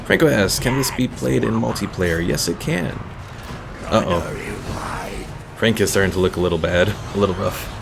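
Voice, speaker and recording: A man giving relaxed, casual live game commentary as a streamer, thinking aloud as he plays. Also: fairly low pitch, normal speed, gravelly, noisy background. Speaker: gaming streamer. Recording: game music behind the voice.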